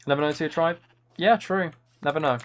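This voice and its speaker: A man's voice: on the high side, a little fast. A man chatting casually on a gaming livestream, rambling and often questioning himself as he goes. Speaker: livestream host. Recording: clear background noise, keyboard clicks.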